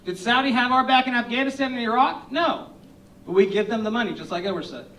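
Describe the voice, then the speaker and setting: Male candidate, high, punchy, heard from a distance. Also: expressive, quick pace, a bit bright, a little hiss or hum. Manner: confident, punchy. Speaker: congressional candidate. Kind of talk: candidate forum answer. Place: event hall. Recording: echoey hall from far off.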